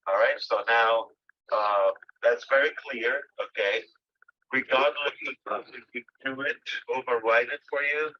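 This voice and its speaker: A man giving a company update over a phone call, pausing often with filler sounds as he searches for words. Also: medium pitch. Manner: halting, many filler pauses. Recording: dull lo-fi phone line.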